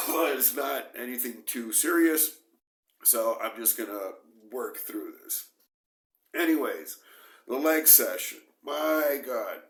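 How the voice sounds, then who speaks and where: A middle-aged man vlogging to the camera about his training, hoarse from a cold and talking in emphatic, repetitive bursts. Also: mid-range voice, lively intonation, slow, fairly bright tone. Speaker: bodybuilding vlogger. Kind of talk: casual talk to camera. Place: small dim room indoors. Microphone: camera close at face, moving. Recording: roomy echo.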